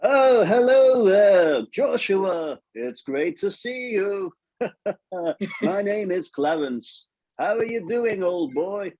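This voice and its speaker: A man voicing a jolly, genteel puppet old chap with a drawn-out, chummy delivery. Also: sits high, animated, a little mellow, highs cut off, exaggerated voice. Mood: jolly, playful. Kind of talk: live puppet show character voice.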